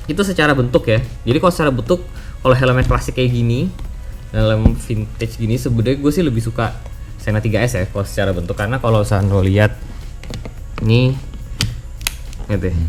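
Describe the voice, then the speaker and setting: A man with a Jakarta Indonesian accent giving a casual product review as a vlogger, informally mixing English words into slangy speech. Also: medium pitch. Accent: Indonesian, Jakarta colloquial. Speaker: motovlogger presenting a product review.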